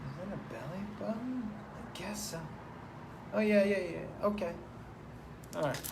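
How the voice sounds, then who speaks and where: Male live-stream host, rambling and profane, slightly high voice, noisy feed. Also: expressive, unhurried, gravelly. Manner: loose, profane, rambling and playful. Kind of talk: casual live-stream chat, reading viewer comments. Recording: noticeable background noise.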